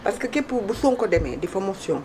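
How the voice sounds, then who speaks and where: A woman with a Senegalese accent speaks as a political commentator, her voice in a middle register. She argues emphatically and repeats points to drive them home. There is clear background noise in the recording. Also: medium pitch, big pitch swings, fairly quick, dark tone. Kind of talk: animated political discussion mixing two languages.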